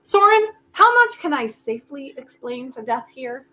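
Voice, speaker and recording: A woman acting in a staged play reading as a desperate, quick-witted, volatile woman who argues in a halting, emphatic way, thinking aloud. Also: high-pitched, big pitch swings, sharp tone, heavily acted. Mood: probing, wry.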